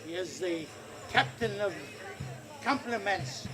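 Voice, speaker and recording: A man's voice: high voice, slow, over music. A man playing a mocking pirate wit. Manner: mocking, playful insults. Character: witty male pirate swordsman, mocking and quarrelsome.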